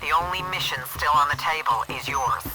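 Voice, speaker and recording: A man's voice: fairly high pitch, a little fast, over music, natural voice, low-quality recording. A man voicing a stern, businesslike military commander giving radio briefings in a shooter game, clipped and authoritative. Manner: clipped, authoritative, formal jargon.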